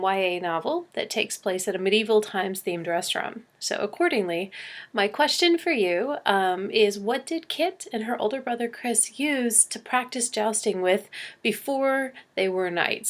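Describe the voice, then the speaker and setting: A woman's voice: mid-range voice, fairly bright tone. A woman author informally presenting her novel and a trivia question about it.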